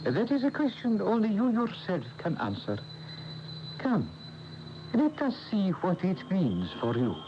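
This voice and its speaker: An actor playing a serene, courteous Indian meditation guru in a radio drama, speaking formally and with gentle instruction. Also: male voice, high-pitched, huge pitch swings, a little slow, heavily acted. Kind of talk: 1980 radio drama acting. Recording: noisy, muffled AM radio broadcast tape.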